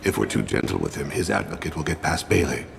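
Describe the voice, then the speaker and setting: An actor playing a solemn, patient alien assassin in a video game, with a gravelly voice and a measured, grave manner. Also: male voice, a bass voice, big pitch swings, noisy background, exaggerated voice. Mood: grave, thoughtful.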